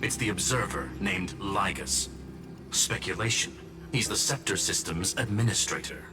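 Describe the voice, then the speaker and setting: Man acting a clinical genius, lowish voice. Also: normal speed, lightly acted. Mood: grave, matter-of-fact. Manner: clinical, formal, announces statements with one-word labels. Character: coldly analytical male genius with clipped machine-like speech. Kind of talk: video game dialogue voice acting. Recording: loud music bed under voice. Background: background music.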